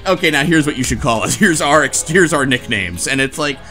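Male host, bright tone, loose conversational recap with acted-out lines, light music underneath. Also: fairly high pitch, lively intonation, a little fast. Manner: acts out imagined dialogue while recapping. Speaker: podcast host. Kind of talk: casual book club chat recapping a story.

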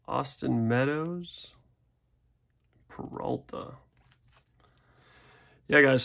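Gravelly-voiced young man chatting casually. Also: mid-range voice. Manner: chatty, rambling, self-deprecating asides. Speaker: card-opening channel host. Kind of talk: casual pack-opening commentary. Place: indoors at a desk.

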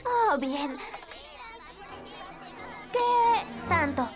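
A very high, lively little girl. She is a proud, fussy cartoon shopkeeper who insists on order. Loud music plays behind her. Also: drawn-out words, a crowd in the background, exaggerated voice. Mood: brisk, uneasy. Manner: fussy, eager, insists everything is under control. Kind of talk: animated cartoon dub acting.

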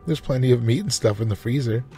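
A man's voice: mid-range voice, fast, mellow, faint music behind, pitched above the performer's own voice, close to an everyday voice. A man voicing a devoted, anxious housewife who fusses and coaxes in a storybook reading. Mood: attentive, coaxing. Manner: fussing, coaxing. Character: devoted, anxious, eager-to-please young housewife.

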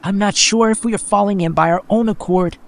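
Man voicing an earnest young boy hero, higher-pitched. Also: fairly quick. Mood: cautious, determined. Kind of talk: audiobook character voice.

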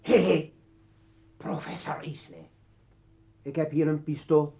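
A sardonic rebel poet with a gun in an old radio drama, bit high voiced, muffled lo-fi sound. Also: male voice, fairly even intonation, takes it slowly, gravelly. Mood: threatening, commanding. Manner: taunting, commanding. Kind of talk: Dutch radio play acting. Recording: dull old radio recording.

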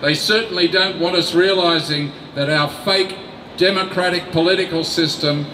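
An older man reading a prepared speech at an outdoor rally into a mic on a stand, in a slow, deliberate, emphatic way. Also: on the high side, steady tone, sharp tone, slight background noise. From Australia. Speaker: rally speaker. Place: outdoor city square. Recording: echoey outdoor sound from a distant camera.

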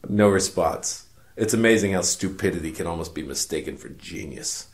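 A world-weary private eye acted by a man narrates a noir parody radio drama. The voice is low with a heavy creak, and the delivery is wry, deadpan and full of self-importance. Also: moderate pace. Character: cynical world-weary hard-boiled male detective narrating his case.

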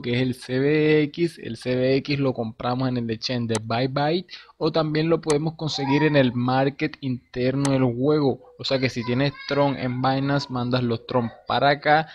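A man's voice: mid-range voice, fairly even intonation, normal speed. A man, talking viewers through a game on screen in an informal, slangy way. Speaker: crypto game tutorial host. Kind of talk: screen-recorded tutorial narration. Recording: dull lo-fi voice with faint hiss.

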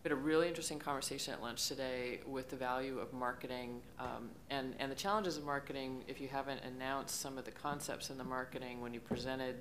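A woman panelist talking in an animated, rambling way with bursts of laughter, on a conference stage at a table microphone. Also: very deep voice, fast talker, hardly any pauses, slight background noise. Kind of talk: panel discussion.

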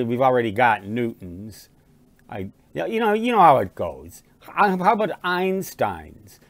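A man's voice: mid-range voice, no echo. A man in his sixties or older, reminiscing casually to the camera in a rambling, conversational explaining manner. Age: 60s or older. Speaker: amateur radio hobbyist and vlogger. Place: small living room at home with fireplace.

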